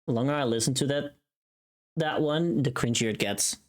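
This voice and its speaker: A woman's voice: rumbling low, normal speed. A woman livestreamer chatting casually and informally while she works, thinking aloud.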